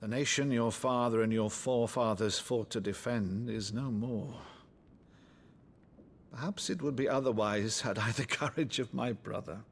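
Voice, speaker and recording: An actor playing an older nobleman, a blustering but warm-hearted uncle, in a theatrical, grandiloquent video game performance. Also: mid-range voice, normal speed, gravelly. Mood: grave, weary. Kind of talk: dramatic video game acting.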